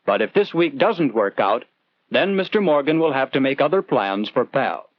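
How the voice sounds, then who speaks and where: A man's voice: medium pitch, animated, normal speed, dry recording, lo-fi sound. A man narrating a scripted 1940s instructional film in an earnest, conversational storytelling manner, putting questions to the viewer, on an old film soundtrack.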